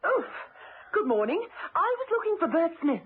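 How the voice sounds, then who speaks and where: A woman's voice: extremely high pitch, very animated, moderate pace. An actress playing a poised, dryly witty British lady investigator in a radio drama, on a muffled old recording. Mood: polite, bemused.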